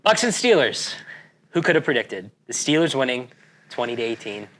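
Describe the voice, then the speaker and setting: A man's voice: medium pitch, sing-song, moderate pace, a bit bright, rough recording. A young man hosting a casual sports chat, breezy and joking, rambling between topics. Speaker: host.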